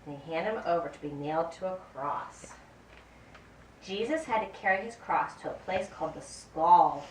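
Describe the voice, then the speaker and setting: A woman reading a scripted Bible story as narrator of a puppet show, in a deliberate, expressive way. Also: on the low side. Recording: roomy, distant mic, faint background noise.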